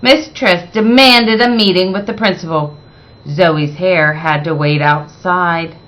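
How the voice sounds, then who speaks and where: Female picture-book narrator, slow and deliberate, bright tone, expressive with dramatic emphasis. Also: fairly low pitch, lo-fi sound. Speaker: read-aloud storyteller.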